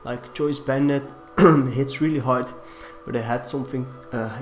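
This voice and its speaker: Give me a young man with a cold, speaking softly and hesitantly over quiet music. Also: medium pitch, steady tone. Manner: deliberately quiet, subdued delivery; hesitant, many fillers and restarts. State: has a cold. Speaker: competitive game commentator. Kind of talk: casual game commentary. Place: room in a shared flat.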